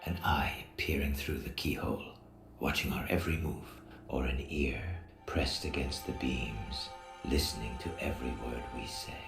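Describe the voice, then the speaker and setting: A man playing a grave, secretive Persian stranger in an audio drama, speaking formally and deliberately in a hushed voice that often drops to whispers. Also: rumbling low, gravelly. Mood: secretive, wary. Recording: echoey, roomy sound with music.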